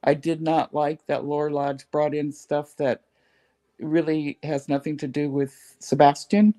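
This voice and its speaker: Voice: mid-range voice, little pitch movement, measured pace, mellow. A panel host in casual chat who teases and speaks bluntly. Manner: teasing, blunt.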